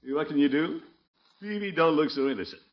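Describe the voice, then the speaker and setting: An actor playing a pompous, scheming middle-aged small-town commissioner in 1950s radio comedy, blustering and self-important. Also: male voice, on the high side, a little fast, natural voice. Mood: indignant. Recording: muffled vintage 1950s radio recording.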